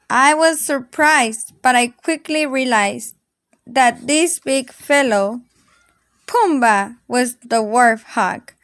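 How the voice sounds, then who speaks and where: A woman reading a children's story aloud in an expressive, dramatic storytelling manner. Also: high voice, no echo, studio quality. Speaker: storybook reader.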